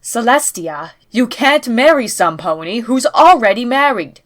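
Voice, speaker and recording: A woman's voice: fairly high pitch, expressive, unhurried. A woman voicing a blunt, indignant younger-sister pony princess in a scripted audio drama, sarcastic, exasperated and emphatic. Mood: disapproving.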